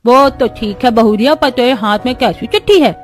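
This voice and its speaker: A woman's voice: on the high side, a little fast, close to an everyday voice. A woman voicing an elderly village aunt who is easily amazed and speaks in folksy exclamations. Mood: curious, eager.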